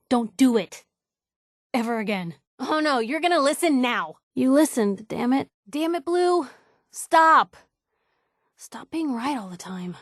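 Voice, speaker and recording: Game companion role: feisty young woman reporter, lightly high pitch, wry, guarded, emphatic, naturalistic delivery, very dry recording. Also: dry recording. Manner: sardonic, emphatic, hesitant when vulnerable. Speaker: video game companion character. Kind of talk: naturalistic game dialogue acting.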